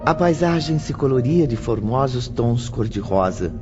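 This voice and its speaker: Male audiobook narrator, lyrical and measured, lively intonation, muffled lo-fi sound. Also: mid-range voice. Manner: lyrical, deliberate storytelling. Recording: dull lo-fi audio with background music.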